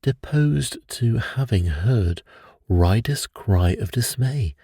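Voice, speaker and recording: A man narrating a classic detective tale as a bedtime story in a calm, measured, soothing manner. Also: mid-range voice, husky, no room sound, studio quality.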